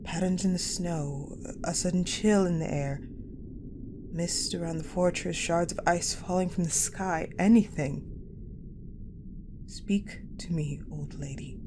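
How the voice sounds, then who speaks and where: A woman playing a cold, guarded, possessive war general in a dramatic audio roleplay, speaking in a measured, haughty, pensive way with deliberate pauses. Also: lowish voice, natural voice. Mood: brooding, commanding. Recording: clearly noisy, ambient sound bed.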